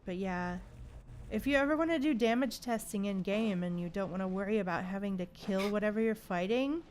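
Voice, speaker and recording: A woman's voice: medium pitch, brisk, darkish tone, slight background noise. A woman chatting casually as she livestreams a video game, with playful exclamations and slang. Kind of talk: casual livestream gameplay chat. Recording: game music behind the voice. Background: video game music.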